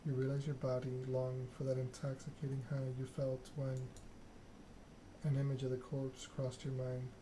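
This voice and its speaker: Man reading softly in ASMR style, little inflection. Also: mid-range voice, monotone, moderate pace, noisy background. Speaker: video game streamer reading a story game aloud. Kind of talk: ASMR narration, reading game text aloud.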